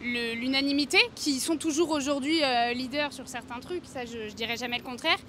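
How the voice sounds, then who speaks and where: A woman's voice: high-pitched, a little fast, fairly bright tone. A woman in her twenties sharing her opinion casually in a street interview. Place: outdoors on a city street. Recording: faint urban street noise.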